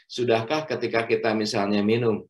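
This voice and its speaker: A man's voice: fairly low pitch, little pitch movement. Middle-aged man lecturing with many fillers. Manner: speaks in measured phrases with many "eh" fillers and "ya" tags; addresses listeners warmly as friends. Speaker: doctor giving a health talk. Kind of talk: lecture at a religious study session.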